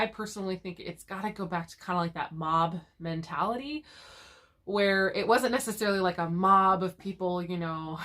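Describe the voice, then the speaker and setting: A woman's voice: lowish voice, fairly bright tone. Woman, co-host, casual chat, lingering cough, laughs often, restarts sentences. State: lingering cough, cough drop in mouth. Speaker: co-host of couple chat channel.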